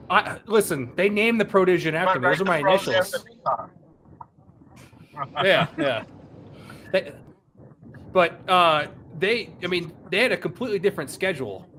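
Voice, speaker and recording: A man in his thirties hosts a casual podcast chat, his voice sitting a little high for a man. He rambles and restarts often, then reels off numbers. A faint hiss runs underneath. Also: sharp tone. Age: 30s. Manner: rambling, many restarts, reads out stats. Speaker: podcast host.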